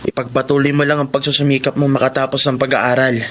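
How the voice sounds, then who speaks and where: A man playing a kind, encouraging schoolteacher in a Filipino radio drama, with a gentle manner. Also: medium pitch, little pitch movement, quick pace, slight background noise, lightly acted.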